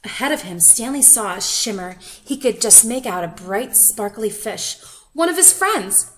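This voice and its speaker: A woman reading a children's picture book aloud expressively, with questioning asides to young listeners and drawn-out emphasis. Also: fairly high pitch, animated, a bit bright, rough recording. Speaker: children's story reader.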